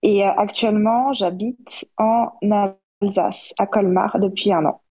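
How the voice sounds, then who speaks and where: Mellow woman in her thirties. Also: medium pitch, steady tone, unhurried. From born in Russia, grew up in Paris, France. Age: 30s. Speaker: podcast guest, self-published author. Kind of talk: casual interview answers.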